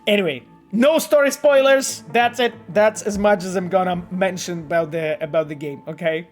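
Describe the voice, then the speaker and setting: A man's voice: sits high, moderate pace, faint music behind. Chatty Polish-accented man streaming. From Poland. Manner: animated and rambling, with frequent fillers, repeats and laughs. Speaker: game developer streaming and reading chat. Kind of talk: casual live-stream chat.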